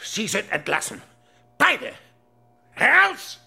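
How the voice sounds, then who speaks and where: A protective male doctor in charge in a radio drama. His voice is forceful and creaky, swinging widely in pitch, with music underneath. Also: huge pitch swings, takes it slowly, heavily acted. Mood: furious. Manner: forceful, commanding.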